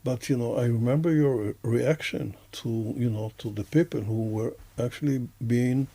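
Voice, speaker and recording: A man with an Israeli accent and a low-key, mellow tone that often crackles into fry. He talks like a reflective interviewer, feeling his way through roundabout sentences. The sound is close and dry. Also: normal speed, no room sound. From Israel and the United States. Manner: hesitant, roundabout phrasing with many hedges. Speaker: interview host, professor. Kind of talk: casual reflective conversation.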